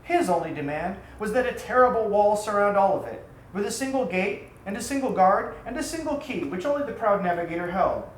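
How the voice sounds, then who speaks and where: A man's voice: high voice, lively intonation. Man narrating a tale in an echoey room. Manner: dramatic, deliberate, ornate delivery. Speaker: author reading own story aloud. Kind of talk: theatrical storytelling narration. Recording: roomy echo, faint background noise.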